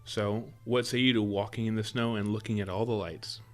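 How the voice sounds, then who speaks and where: A man's voice: lowish voice, steady tone, fairly quick, soft background music, lightly acted. A man playing an affectionate, playful boyfriend in a roleplay audio drama, talking in a teasing, coaxing way. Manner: teasing, chuckles often, coaxing. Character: affectionate playful boyfriend spoiling his sweetheart with surprises.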